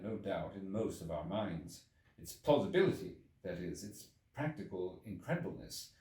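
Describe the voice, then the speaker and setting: Male audiobook narrator: theatrical, measured literary reading, very varied intonation, recorded in an echoey room. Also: on the low side, a little mellow. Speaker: audiobook reader. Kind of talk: expressive scripted literary narration. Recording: echoey room, distant from the mic.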